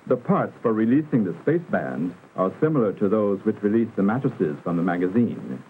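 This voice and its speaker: A man narrating a 1960s educational film in a formal, instructional manner with careful, measured enunciation. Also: lowish voice, very animated. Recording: muffled vintage film soundtrack, narrow band, hiss.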